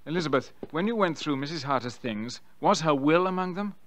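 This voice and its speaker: An actor playing a scheming, nervy murderous nephew in a radio drama, agitated and prone to stammering outbursts. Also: male voice, a little high, lively intonation, fairly quick, a bit bright, slight background noise. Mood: brisk, uneasy.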